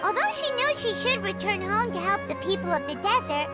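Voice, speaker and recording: A woman voicing a small, perky, blunt fairy companion girl in a video game, with a squeaky childlike voice. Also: very animated, a little fast, over loud music. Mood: excited realization. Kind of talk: animated video game dialogue.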